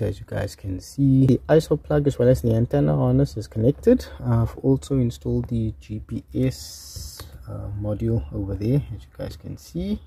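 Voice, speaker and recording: A man's voice: mid-range voice, vocal fry, mellow, breathy edge. A man with a South African accent giving a casual, hands-on DIY tutorial, talking informally to his viewers. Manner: informal, addresses viewers as guys. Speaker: presenter of a DIY installation tutorial.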